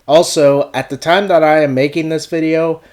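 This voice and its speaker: A man's voice: fairly high pitch, fairly even intonation, normal speed, darkish tone. Man with a cold narrating a review. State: recovering from a cold. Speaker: video essay host.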